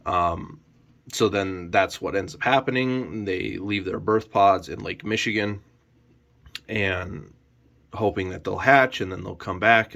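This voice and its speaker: A man's voice: sits low, creaky, fairly bright tone. A man talking casually and unscripted as a reviewer in a vlog, rambling along with frequent "you know" hedges. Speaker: YouTube collector reviewer.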